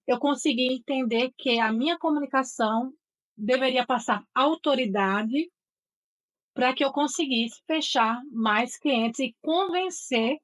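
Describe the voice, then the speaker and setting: A middle-aged woman with a Northeastern Brazilian accent from Sergipe, a voice and speech therapist telling her career story in an interview with an assured, articulate manner. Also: high voice. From Aracaju, Sergipe, Brazil.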